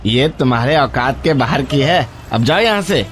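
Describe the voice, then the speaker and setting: An actor playing a haughty, suspicious shop owner in an animated moral story, brusque and scornful. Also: male voice, medium pitch, moderate pace, faint music behind, natural voice.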